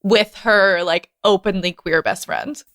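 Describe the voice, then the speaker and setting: A woman's voice: mid-range voice, measured pace, fairly bright tone, no room sound, clean studio sound. Emphatic woman hosting a casual discussion. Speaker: podcast host.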